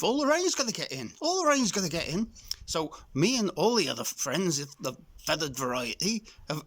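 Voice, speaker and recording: Casting: male reader as old rustic crow, kindly, folksy rambler, repeats himself, very animated pitch. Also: husky, no room sound, full character voice. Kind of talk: children's storybook character voice.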